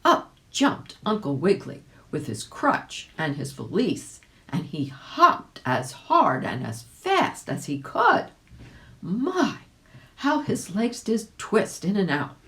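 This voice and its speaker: A woman reading a children's story aloud in an expressive, animated way, addressing young listeners directly. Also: medium pitch, slow pace. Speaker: volunteer audiobook reader.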